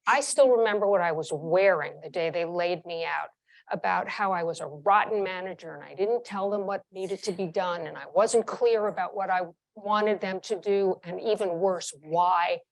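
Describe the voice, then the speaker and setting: A woman in her sixties from New York speaks with a slightly low voice. She tells frank, vivid career anecdotes as an interview guest and drives her points home with emphatic repetition. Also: normal speed. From New York, United States. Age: 60s. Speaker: former chief executive, interview guest. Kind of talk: candid interview storytelling.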